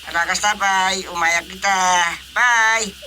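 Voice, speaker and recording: Casting: domineering Ilocano village chairman, high-pitched, piercingly bright, slow deliberate delivery, radio comedy. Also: steady tone, a little hiss or hum, full character voice. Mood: brisk, commanding. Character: bossy, indignant male village chairman. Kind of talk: Filipino radio comedy drama acting.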